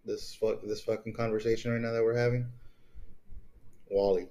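A man's voice: on the low side, level tone, normal speed, mellow. A man co-hosting a podcast in casual banter, talking in a profane, slangy way. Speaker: podcast co-host.

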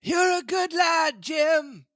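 Woman doing a half-crazed old castaway pirate, high shouting voice, slow drawl, sailor's dialect, dry close sound. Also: expressive, dry recording, heavily acted. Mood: excited. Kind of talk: storybook read-aloud.

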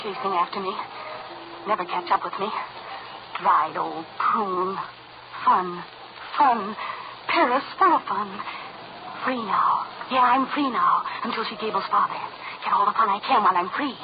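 A woman's voice: mid-range voice, measured pace, pauses often, natural voice. A woman playing a spoiled, rebellious young American heiress in old-time radio drama, brash, slangy and scornful. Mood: furtive, excited. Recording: muffled, very noisy old radio recording.

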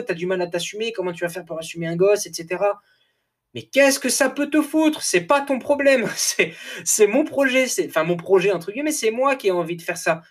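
A man talks straight to his podcast listeners in a loose, animated monologue, with a slightly raised voice. He laughs often, stumbles over words and restarts them, and sounds a little tired. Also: high-pitched. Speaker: podcast host. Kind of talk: casual motivational podcast monologue.

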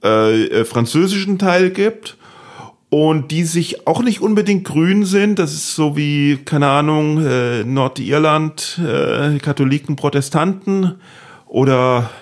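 A man's voice: fairly high pitch, expressive, slow. A man hosting a comedy podcast in casual chat, playful, repeating words for comic effect. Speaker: podcast host.

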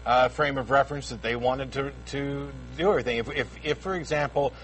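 A man's voice: mid-range voice, fairly quick, fairly bright tone, slight background noise, low-quality recording. A tired man co-hosting a call-in show, direct and wry when answering callers. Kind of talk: casual talk-show discussion. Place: studio.

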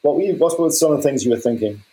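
A man's voice: a little high, expressive, rapid-fire, dark tone, poor audio. A man hosting an interview podcast, enthusiastic, often repeating words as he asks his questions. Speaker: podcast host.

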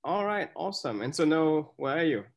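A man's voice: a little high, expressive, brisk. A man hosting an interview, chatty and encouraging, with many fillers and restarts. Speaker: interview host. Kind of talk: casual interview.

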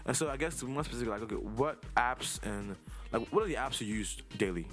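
Male host, twenties, from Nigeria. Rapid, slightly low-voiced, loose and rambling conversational questioning, light music underneath. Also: a bit bright. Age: 20s. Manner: informal, rambling, circles around his questions. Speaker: host interviewing a younger relative. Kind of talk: casual conversational interview.

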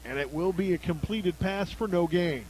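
A man with an American accent and a noticeably high-pitched voice calls a football game for radio. He works as the play-by-play announcer, following the action live from the game. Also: moderate pace, a little mellow, poor audio.

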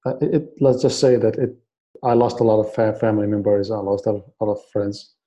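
Guest: man from Iraq, late 20s, earnest and halting, mellow low voice. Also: fairly even intonation. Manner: earnest, repeats and restarts words. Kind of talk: casual interview.

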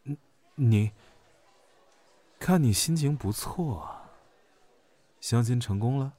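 A man's voice: mid-range voice, expressive, slow pace, mellow. A man acting a blunt, naive young military officer in a Chinese audio drama. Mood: teasing. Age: young adult. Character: blunt, naive young male military major general, sci-fi world. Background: music.

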